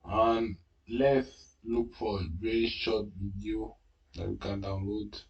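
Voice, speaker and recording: Tutorial host, male, French native speaker, hesitant and unhurried, slightly low pitch. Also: level tone, gravelly, far from the mic, muffled recording. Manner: hesitant, many fillers and restarts. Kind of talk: casual screen-recorded tutorial.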